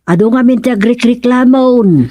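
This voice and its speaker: A woman's voice: a little high, moderate pace, warm tone, dry recording, clean studio sound, lightly acted. A woman with an Ilocano accent playing a grumbling village gossip in a radio comedy drama.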